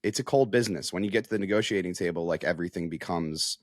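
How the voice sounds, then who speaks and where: Low-voiced American man, casual sports analyst. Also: quick pace, no room sound, studio quality. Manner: conversational, peppered with "like" and "you know". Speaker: sports reporter, guest analyst.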